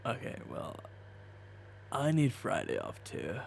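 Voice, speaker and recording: Man playing a slow-talking stubborn slacker employee. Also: mid-range voice, huge pitch swings, creaky, a little hiss or hum, exaggerated voice. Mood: sheepish. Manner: hesitant, mumbly, stubborn. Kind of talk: audio roleplay dialogue.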